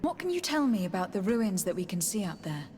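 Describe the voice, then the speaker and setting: A woman's voice: mid-range voice, sing-song, fairly quick, a little mellow, slight background noise, lightly acted. An actress playing a composed, inquisitive noblewoman envoy in a fantasy game, speaking formally and earnestly. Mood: inquiring. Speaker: voice actor in a fantasy video game. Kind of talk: game dialogue.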